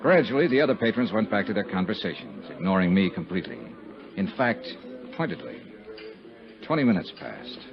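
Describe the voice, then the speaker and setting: An actor playing a tough, wry American investigator in a 1950s radio noir, speaking in a clipped, sardonic way. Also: male voice, on the low side, big pitch swings, a little fast, creaky. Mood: tense narration. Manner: clipped, hard-boiled, sardonic. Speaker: lead detective and narrator. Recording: dull vintage 1950s radio recording.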